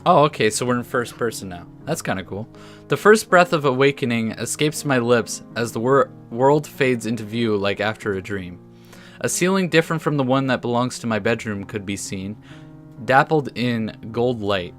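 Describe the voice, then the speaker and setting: A man presents a let's play, switching between casual remarks and narrated story text. His voice sits at a medium pitch, and he sometimes trips over words as he reads. Gentle guitar music plays behind him. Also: animated, moderate pace. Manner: casual, stumbles over words when reading aloud. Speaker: gaming video host. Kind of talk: let's play commentary mixed with reading story text aloud. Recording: music under the voice.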